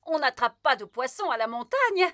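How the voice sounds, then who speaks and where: A woman's voice: high-pitched, big pitch swings, moderate pace, pushed and bright, dry recording, clean studio sound, heavily acted. A woman playing a chatty, matter-of-fact rabbit shopkeeper in a children's cartoon. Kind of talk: children's cartoon dialogue, dubbed.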